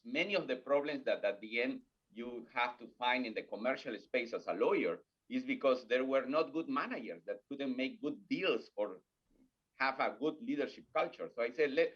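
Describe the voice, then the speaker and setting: A man's voice: medium pitch, slightly rough. Peruvian-accented man, muffled call audio. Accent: Peruvian Spanish. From Peru. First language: Spanish. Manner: earnest, with non-native grammar slips. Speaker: guest, corporate learning executive. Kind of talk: podcast interview.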